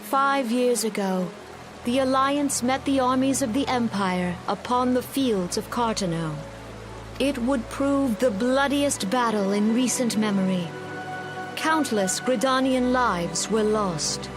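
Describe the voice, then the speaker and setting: An actress playing a solemn female forest leader giving a measured, formal ceremonial speech in a fantasy game. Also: a little high. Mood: grave, mournful. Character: gentle, solemn female forest elder leader addressing her people. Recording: music and rain behind the voice.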